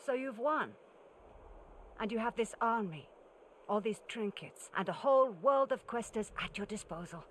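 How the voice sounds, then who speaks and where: A woman playing a calm, cryptic, unyielding older mentor in a cinematic video game, speaking in a measured, grave way. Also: a little high, slight background noise, lightly acted. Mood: defiant, reproachful.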